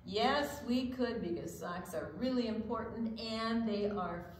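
An older woman with a medium-pitched voice banters simply for children. The room echoes strongly around her, with faint background noise. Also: unhurried. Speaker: children's storytime co-host. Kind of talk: gentle banter addressed to children. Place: library room, indoors.

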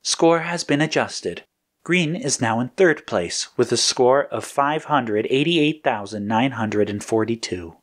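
A man puts on the voice of a mission-control computer in an audio story. It is polite and precise, keeping a formal, measured manner that nothing ruffles. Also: medium pitch, animated, voice pushed up. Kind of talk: acted audio story dialogue.

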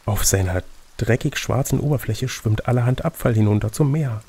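A man's voice: medium pitch, normal speed, warm tone, breathy edge. A man acting as reader and game master, reading an adventure gamebook aloud in a conversational way.

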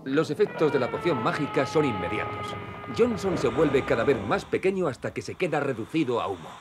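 A man's voice: mid-range voice, big pitch swings, fairly quick. A man reading scripted narration for a children's cartoon as its storyteller. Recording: music behind the voice.

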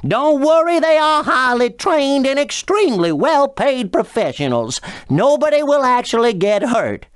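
A woman's voice: high voice, sing-song, unhurried, dry recording, clean studio sound. A woman playing a folksy, playful honey-country game host with a drawling Southern US accent, cheerfully teasing. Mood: upbeat, folksy. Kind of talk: scripted video game character dialogue.

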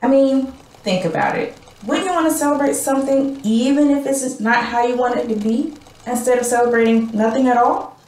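A woman acting a gentle, hopeful refugee in a radio play. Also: sits high, fairly even intonation, fairly quick, natural voice. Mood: thoughtful. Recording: echoey room, faint music behind.

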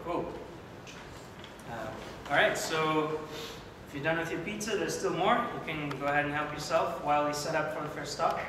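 A man making relaxed announcements as organizer of a meetup. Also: a little high, moderate pace. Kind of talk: casual announcements. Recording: echoey room, far mic, hiss.

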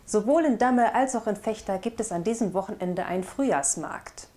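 A woman's voice: mid-range voice, animated, moderate pace, warm tone, a little hiss or hum. A young woman presenting scripted local news as host, recorded indoors on a lapel mic. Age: young adult. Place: indoor studio with blue backdrop.

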